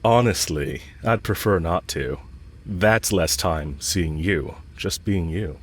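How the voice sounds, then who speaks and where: A man performs a smitten villain with a low voice, speaking straight to the listener as in an intimate audio drama. He is cocky and casual, teasing as he goes, and blunt about his affection. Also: takes it slowly, breathy edge, full character voice. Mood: fond, flirtatious. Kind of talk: romantic audio roleplay, spoken intimately to the listener.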